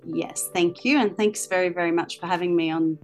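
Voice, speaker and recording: A woman in her fifties talks through her teaching career with a chatty, storytelling manner. Her voice sits a little low. Soft music plays quietly behind her. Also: fairly even intonation, brisk. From Australia. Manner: chatty, storytelling with many ums. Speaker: guest, language teacher and author. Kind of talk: interview answers.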